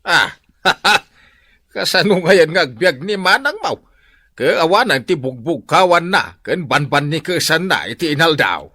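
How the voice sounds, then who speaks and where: Man acting a bright, animated, nosy Ilocano gossip. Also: on the high side, a little slow, no room sound, heavily acted. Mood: curious. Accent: Filipino, Ilocano. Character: nosy, teasing man gossiping about the neighbour. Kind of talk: Ilocano comedy radio drama acting.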